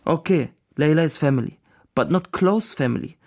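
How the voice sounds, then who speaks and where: An actor playing a weary, sceptical Syrian business partner in a radio drama, speaking. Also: male voice, a little high, expressive, normal speed, darkish tone. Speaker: supporting actor in a radio play. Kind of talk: naturalistic radio drama dialogue in English.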